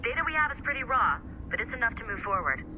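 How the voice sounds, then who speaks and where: A woman's voice: fairly high pitch, big pitch swings, fast, dull audio, noisy background. A woman playing a brusque, sarcastic, impatient young computer technician in a video game, blunt and informal. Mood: dryly teasing. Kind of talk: video game character dialogue.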